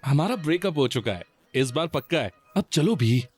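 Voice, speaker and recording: A man's voice: fairly high pitch, expressive, moderate pace. An actor playing an earnest, lovestruck young rich man with a sincere, pleading manner in an audio drama. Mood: coaxing.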